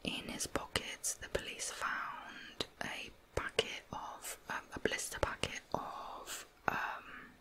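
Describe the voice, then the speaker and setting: Female ASMR true-crime narrator, fully whispered, soft explanatory delivery. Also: slow.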